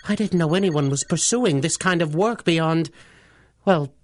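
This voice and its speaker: A man's voice: on the high side, unhurried, a little hiss or hum, close to an everyday voice. Man playing a polite, curious radio-serial scientist. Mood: fascinated, puzzled. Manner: hesitant, courteous, formal wording. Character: polite, curious, mild-mannered male scientist. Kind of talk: 1930s radio adventure serial acting.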